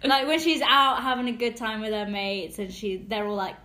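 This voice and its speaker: A woman's voice: on the high side, normal speed, bright, forceful tone. A woman, chatting casually as a podcast co-host, swearing freely and laughing often. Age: 20s. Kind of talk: casual chat among friends. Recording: roomy room with faint background noise.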